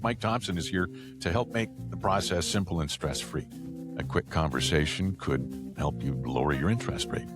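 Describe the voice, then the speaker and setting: Lively low-voiced man reading sponsor spots. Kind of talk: scripted promotional read. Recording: background music under voice.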